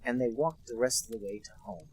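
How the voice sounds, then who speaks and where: A man co-hosting a podcast, reading a bad fan story aloud in a deadpan, mock-serious way. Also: mid-range voice, a little fast, slightly rough, low-quality recording. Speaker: podcast co-host reading a fanfic. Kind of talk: comic dramatic reading.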